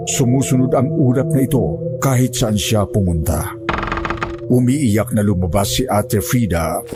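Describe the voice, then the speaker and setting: A man's voice: fairly low pitch, a little slow, music in the background. A man narrating a scripted first-person horror story as its storyteller.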